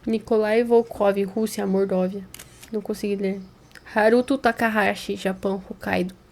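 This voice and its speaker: Casting: female Brazilian reaction host, mid-pitched voice, casual and jokey delivery, audible room noise. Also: steady tone, normal speed, darkish tone. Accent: Brazilian Portuguese. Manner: playful, joking exaggeration. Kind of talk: casual chat reacting to a horror video.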